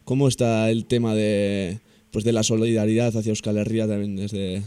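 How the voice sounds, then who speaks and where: Low-voiced Spanish male interviewer, mellow. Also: level tone, moderate pace, clear, resonant tone, dry recording. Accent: Spanish from Spain. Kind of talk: conversational interview questioning.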